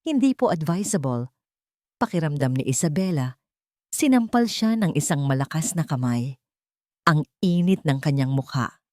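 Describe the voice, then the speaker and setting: A woman's voice: deep voice, lively intonation, normal speed, darkish tone, no echo, studio quality. A woman narrating a scripted dramatic story as an audiobook reader.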